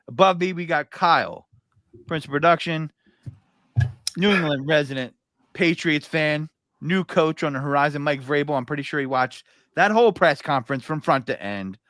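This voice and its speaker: A man's voice: on the high side, moderate pace, fairly bright tone, dry recording. A man hosting a casual live sports podcast, joking and loose, with plenty of fillers. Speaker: podcast host.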